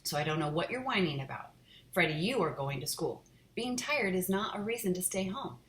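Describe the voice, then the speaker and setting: A woman's voice: lowish voice, lively intonation, a little fast, fairly bright tone. A woman voicing a stern mother who scolds her children, firm and raised, in a roomy echo.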